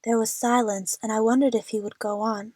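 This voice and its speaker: Woman as lovestruck, nervous young female narrator for audio drama; higher-pitched, earnest, very dry sound. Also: flat delivery, brisk, a little mellow, no echo, lightly acted. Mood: tender, longing. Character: young female movie fan, anxious, lovestruck, narrating her adventure.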